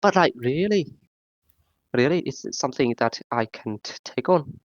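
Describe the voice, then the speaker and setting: A man with a sore throat in a casual group voice chat, rambling and halting, full of fillers. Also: mid-range voice, expressive, no echo, dull audio. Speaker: discussion participant.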